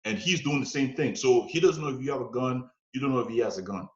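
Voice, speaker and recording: A man casually telling long personal stories, animated and conversational, often checking in with "you know what I'm saying.". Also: mid-range voice, fast talker. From United States. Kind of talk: casual storytelling chat. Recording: roomy, dull lo-fi podcast sound.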